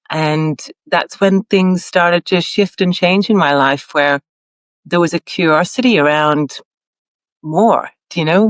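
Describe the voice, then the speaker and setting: A woman's voice: fairly low pitch, dry recording. A woman born in Ireland and raised in Australia, a podcast guest who talks in an earnest, searching way, laughing often and restarting her sentences. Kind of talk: conversational interview.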